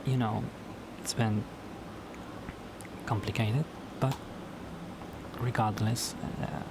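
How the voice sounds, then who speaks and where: A slow, low-voiced man whose native tongue is Spanish, chatting alone to his camera at home late at night. He talks haltingly, restarting and repeating words as he goes. A clear hiss of background noise sits under the recording. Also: fairly even intonation. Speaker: YouTuber. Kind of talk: casual solo vlog talk.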